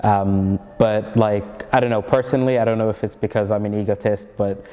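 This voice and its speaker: A man's voice: lowish voice, steady tone, a little fast, mellow, big-room sound. A young man from Norway with an American-sounding accent, a comedian and student chatting in an interview, rambling with lots of fillers and restarts. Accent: American-sounding English learned in Egypt. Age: young adult. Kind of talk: casual interview chat.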